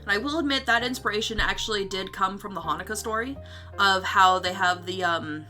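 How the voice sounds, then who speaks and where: Brief: female streamer, bright forceful voice, giggly and rambling, informal chat, music underneath. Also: mid-range voice, fairly quick. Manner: giggly, rambling, swears casually. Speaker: livestream host and artist. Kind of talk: casual livestream chat about own story notes.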